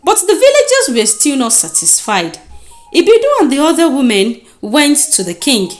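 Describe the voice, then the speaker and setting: A woman's voice: high voice, sing-song, moderate pace. A woman with a Nigerian accent narrating a scripted folktale dramatically as a storyteller. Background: music.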